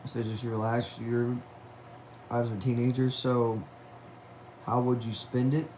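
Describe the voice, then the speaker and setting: A man's voice: mid-range voice, flat delivery, measured pace, a little mellow, slight background noise, rough recording. A man of about twenty chatting casually on a livestream at home, reading viewer messages aloud in a laid-back, talkative way, dropping "y'all" and "man". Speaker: livestream host.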